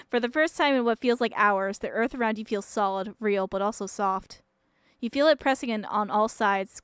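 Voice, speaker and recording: A woman's voice: mid-range voice, a bit bright, smooth, dry recording, studio quality. A woman game streamer giving blunt, jokey, sweary commentary and reading story narration aloud in a casual way.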